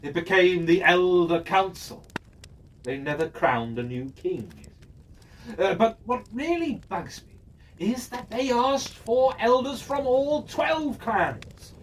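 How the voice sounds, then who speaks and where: A man's voice: sits high, lively intonation, takes it slowly. A man telling a fantasy story in character, chatty and musing, often asking himself questions aloud. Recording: distant mic with faint background noise.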